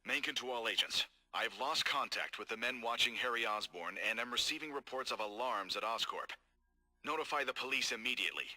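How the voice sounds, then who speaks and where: A man voicing a cold, scheming corporate executive in game audio logs, calm, measured and formal. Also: mid-range voice, soft background music, rough recording. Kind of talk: recorded game audio log.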